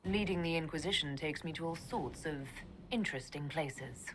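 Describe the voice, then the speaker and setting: A woman's voice: deep voice, normal speed, a bit bright, a little hiss or hum. A woman voicing a commanding elf mage in a fantasy game, dry, pointed and sardonic. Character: sharp, commanding female elf mage leader, dry and stern. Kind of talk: dramatic fantasy game acting.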